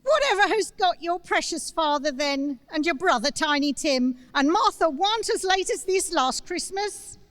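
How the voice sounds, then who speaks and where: A high, very lively voice for a bustling Victorian mother played by a woman. She fusses over her family with open affection, in radio-play style. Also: normal speed, hardly any pauses, no echo. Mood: fretful. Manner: fussing, affectionate. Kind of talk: stage radio play acting. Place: church, live performance.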